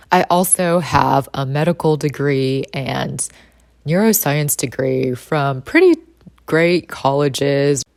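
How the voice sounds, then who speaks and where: A woman in her thirties speaks in a low, husky voice, relaxed and chatty as she vlogs. The sound is dry and close, with no room around her. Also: no room sound. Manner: relaxed and chatty, with light laughs. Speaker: vlogger and trader. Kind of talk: casual vlog narration.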